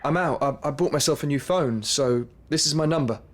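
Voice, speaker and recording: A man's voice: on the high side, a little fast, lightly acted. An actor playing a young man with schizophrenia, a nervous, stammering musician, in a radio drama. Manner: stammering, hesitant restarts.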